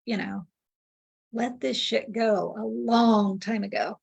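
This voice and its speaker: A woman's voice: on the high side, steady tone, slow, gravelly, darkish tone, husky. A woman in her sixties, a client in an emotional tapping session, talking candidly and repeating affirmations back to her practitioner. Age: 60s. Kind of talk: echoing affirmations after the practitioner.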